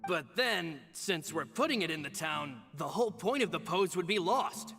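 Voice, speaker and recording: Loyal polite henchman, high male voice, bright, long reverb, video game dialogue. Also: animated, moderate pace, gravelly, faint music behind, exaggerated voice. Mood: critical, considered.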